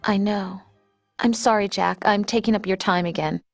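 A woman's voice: medium pitch, fairly even intonation, moderate pace, creaky, no room sound, soft background music. A woman voicing an affectionate, wistful young girlfriend in a video game conversation.

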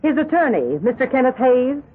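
An actress playing a formal American prosecutor in a radio drama, putting precise questions. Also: female voice, sits high, very animated, normal speed, slightly rough, heavily acted. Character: formal, brisk female prosecutor questioning in court. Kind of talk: 1970s radio drama acting. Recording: muffled old radio broadcast.

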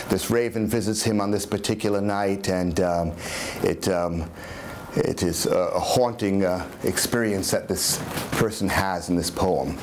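A man's voice: low voice, noisy background. An older man introducing poetry at a live reading, hesitant as he speaks, recorded by a camera in a room. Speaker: dramatic reader presenting poetry. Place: dim room in an old house, indoors.

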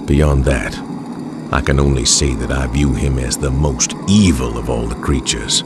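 Horror game journal narrator: a grave, learned man, extremely low voice, ominous, music underneath. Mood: grave, awed. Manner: measured, literate, ominous.

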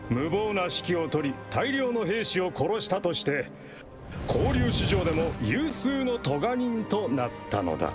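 An actor playing a theatrical storyteller who recounts war legends in a grand, declamatory, old-fashioned manner for a game. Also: male voice, sits high. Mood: grave, dramatic. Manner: grandiose, declamatory, archaic wording. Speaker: storyteller narrator. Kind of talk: dramatic theatrical storytelling narration. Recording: loud music under the voice. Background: loud music.

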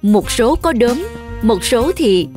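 A woman's voice: a little high, lively intonation, slow, darkish tone. A woman reading scripted fairy-tale narration for children as the storyteller. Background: music.

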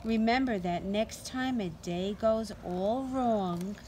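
A middle-aged woman doing an expressive, deliberate read-aloud storytime for young children. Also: medium pitch. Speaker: teacher reading to children. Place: outdoors in a sunny backyard. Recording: outdoor ambient noise.

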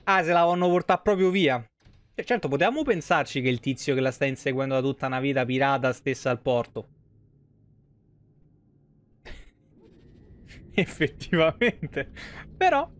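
A man's voice: mid-range voice, big pitch swings, unhurried, pauses often. A man in his thirties doing casual live game commentary and chatting with viewers, informal and swearing freely. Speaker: live streamer.

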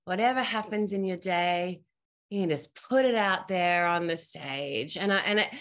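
A woman's voice: lowish voice, moderate pace. A woman on a video call talking in an emphatic, stop-and-start way in a talkback discussion. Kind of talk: animated anecdote in a talkback.

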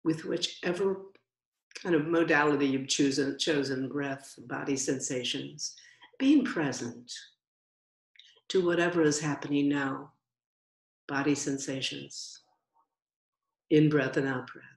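Slow-speaking woman guiding meditation, roomy echo. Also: deep voice, vocal fry. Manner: gentle, unhurried, with long pauses. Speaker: meditation teacher. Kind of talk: guided meditation instruction. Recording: roomy, echoing sound.

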